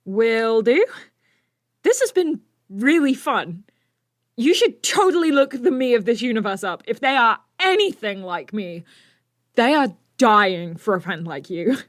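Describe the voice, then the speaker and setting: A woman's voice: on the high side, very animated, a little slow, no echo, clean studio sound. A woman playing a brainy, self-assured teenage superhero with a friendly, nerdy manner in an audio drama.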